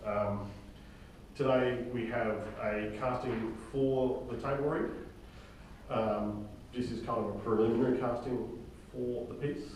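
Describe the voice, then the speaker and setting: A man informally briefing a group of actors as their director, halting as he goes and often checking in with "okay?". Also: medium pitch, steady tone, a little mellow. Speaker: director and writer leading a drama table read. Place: reverberant indoor room, recorded from far off. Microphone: distant room microphone. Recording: very echoey room, distant mic, faint noise.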